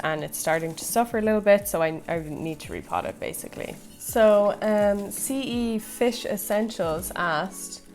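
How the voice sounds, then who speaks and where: A woman's voice: on the low side, a little mellow. A young woman from Ireland with a slightly American-tinged accent, chatting casually and rambling through viewer questions. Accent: slightly American-tinged Irish. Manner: rambling, light laughs, filler-heavy. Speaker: plant vlogger. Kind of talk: chatty Q&A while repotting.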